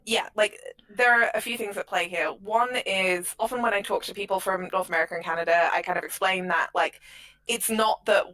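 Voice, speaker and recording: Female, mid-pitched and very bright, earnest explainer in informal discussion. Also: fairly even intonation, a little fast, poor audio. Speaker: audio drama creator. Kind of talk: casual discussion.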